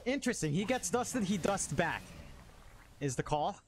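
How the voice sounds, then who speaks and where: High-pitched man commentating over noise. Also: big pitch swings, normal speed. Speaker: esports caster. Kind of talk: live game commentary with casual chat. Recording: clearly noisy stream audio.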